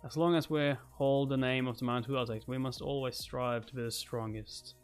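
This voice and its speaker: A man's voice: medium pitch, close to an everyday voice. A man voicing a solemn, commanding older martial sect leader in a comic read-aloud, speaking formally. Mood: solemn, resolute.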